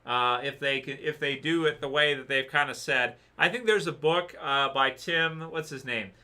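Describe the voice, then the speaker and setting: A man with a very bright, forceful tone talks loosely through chat questions on a livestream. He pauses, restarts and fills gaps with "um" and "uh". His voice is wearing thin, as he says he is losing it. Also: mid-range voice. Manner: rambling, hesitant, many fillers and restarts. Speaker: livestream host answering chat.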